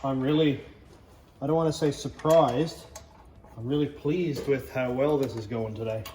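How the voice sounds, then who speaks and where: A man's voice: medium pitch, moderate pace, roomy sound, background noise. A man chatting casually as he hosts a DIY car build vlog, thinking aloud and muttering to himself as he works.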